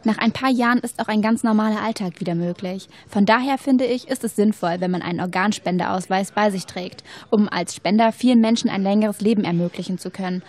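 A woman's voice: medium pitch, brisk, few pauses, darkish tone, natural voice. A young woman playing a curious, open-minded university student in a scripted educational audio drama, with neat, rehearsed conversational delivery. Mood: reasonable, assured. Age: young adult. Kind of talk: scripted educational radio-play dialogue.

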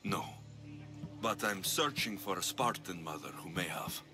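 A man's voice: low-pitched, lively intonation, a little slow. An actor playing a blunt, wry male mercenary warrior in a video game, direct and a little impatient. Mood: determined. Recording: music behind the voice.

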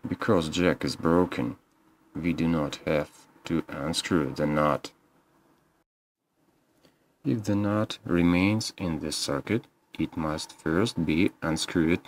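A man with an extremely low voice narrates a scripted repair guide. He speaks slowly, in short step-by-step instructions. Some noise sits behind his voice. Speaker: repair technician.

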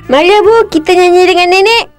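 A woman's voice: very high voice, a little fast, loud music behind the voice. A woman voicing a caring, bossy young big sister in a cartoon. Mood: welcoming. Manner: earnest, scolding, motherly. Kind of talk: cartoon voice acting.

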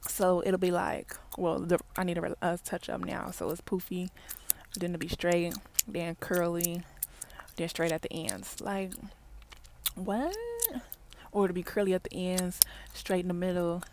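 Woman, thirties, casual ASMR car chat, soft whispers, earbud mic, low voice. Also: little pitch movement, a little slow. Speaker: ASMR vlogger. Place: parked car. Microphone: wired earbud mic held near mouth. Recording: faint background noise, mouth clicks.

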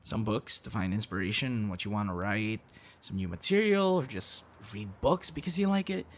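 A man's voice: lowish voice, expressive, moderate pace. A young man acting a teasing, friendly boyfriend figure in an intimate, casual audio roleplay. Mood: casual, playful. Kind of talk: ASMR boyfriend roleplay, intimate one-sided dialogue.

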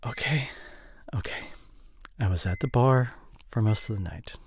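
A young man playing a remorseful drinking boyfriend in an intimate audio roleplay, speaking in a halting, apologetic, soft and pleading way. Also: mid-range voice, slightly rough. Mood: contrite, confessing.

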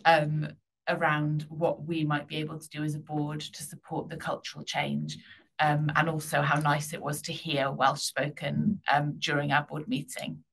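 A woman's voice: low voice, completely flat delivery, normal speed, creaky, a bit bright, slightly rough, poor audio. A woman board member speaking reflectively in a large boardroom, hesitant in her rusty Welsh. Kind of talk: formal meeting remarks.

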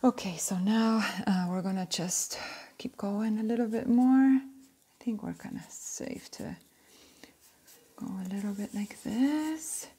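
A woman in her thirties from Argentina, Spanish her first language, with an Argentine accent, hosting a casual drawing tutorial at home in a chatty, gentle way, sometimes searching for words. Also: mid-range voice, slow pace, husky. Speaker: art tutorial host. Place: indoor room at home.

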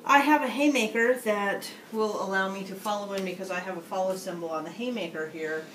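A woman's voice: medium pitch, brisk, fairly bright tone, reverberant, a little hiss or hum, rough recording. A middle-aged woman casually narrating her moves in a card game, recorded across a table in a small room. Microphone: handheld camera across the table.

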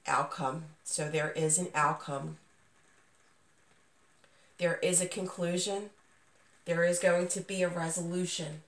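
Creaky-voiced woman recovering from sickness, roomy sound. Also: on the low side, fairly even intonation, slow pace, long pauses. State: getting over being sick, voice not at full strength. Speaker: tarot reader, channel host. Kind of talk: casual spoken tarot reading. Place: indoors, small dim room at a table. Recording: roomy echo, distant microphone.

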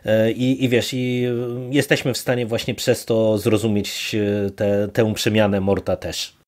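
A man co-hosting a podcast, casually discussing a review in a halting way as he searches for words. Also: lowish voice, level tone, a little mellow. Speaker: podcast co-host. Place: virtual studio, remote recording.

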